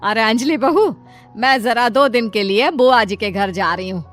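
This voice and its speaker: A woman's voice: a little high, moderate pace, soft background music, natural voice. A woman voicing a sceptical middle-aged mother-in-law in a cartoon story, with a chiding, motherly manner. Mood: gently cautioning. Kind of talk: scripted cartoon story dialogue.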